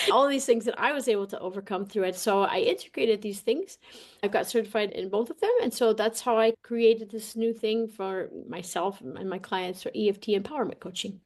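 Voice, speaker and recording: Animated woman, casual podcast guest. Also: medium pitch, moderate pace. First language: English. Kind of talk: casual interview talk, personal storytelling.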